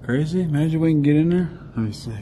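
A man filming himself exploring a closed casino at night, chatting casually with viewers in a hushed, curious way. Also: a little high, fast talker. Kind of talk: casual running commentary. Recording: outdoor noise behind.

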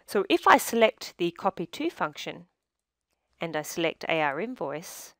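Low-pitched woman narrating a software tutorial. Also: very animated, unhurried, no room sound, studio quality.

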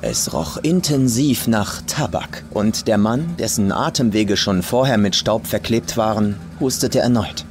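Expressive, low-voiced male horror narrator. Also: unhurried, hardly any pauses. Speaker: audiobook narrator, professional voice actor. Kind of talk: dramatic scripted horror narration. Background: faint music and atmospheric sounds.

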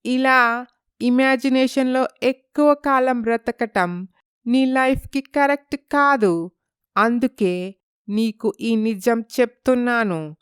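A woman acts out a self-assured female psychiatrist in a dramatized story. The voice is high and clear, with a close, dry sound. She explains in a measured, reassuring, slightly patronizing way. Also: no room sound. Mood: firm, explaining. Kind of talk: dramatized moral story dialogue.

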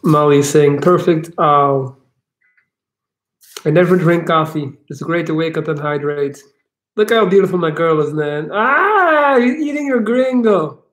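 An upbeat man in his thirties hosts a casual home livestream, chatting with viewers and reading out their comments. His voice sits high for a man. It is picked up on a laptop webcam mic in a living room. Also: expressive, measured pace, a bit bright. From Belgium. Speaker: livestream host, coach.

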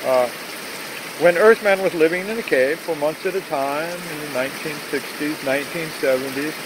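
An older man narrating a rambling video outdoors among trees, talking in a halting way with many fillers, recorded on a handheld phone. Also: fairly high pitch, noisy background. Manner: slow, halting, full of uh fillers. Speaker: vlogger. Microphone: phone at arm's length.

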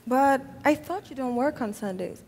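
A woman's voice: high-pitched, animated, unhurried, big-room sound, heavy background noise, voice pushed up. A woman voicing an eager, flustered woman trying to get a date. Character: pushy woman chasing a date. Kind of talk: hobby voice drama.

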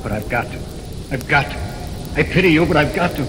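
A man's voice: fairly high pitch, animated, fast, music in the background, lightly acted. A man playing a menacing, falsely soothing husband in a 1940s radio drama, coaxing and insistent. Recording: noisy vintage 1940s radio recording.

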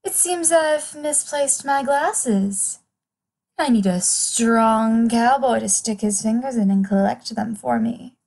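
A woman acts as a playful seductress pretending to be a cartoon detective. Her voice sits a bit high and she draws her words out very slowly. It is suggestive comedy audio drama. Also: creaky, darkish tone, heavily acted. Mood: flirty, playful. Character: flirtatious woman role-playing a bespectacled cartoon sleuth.

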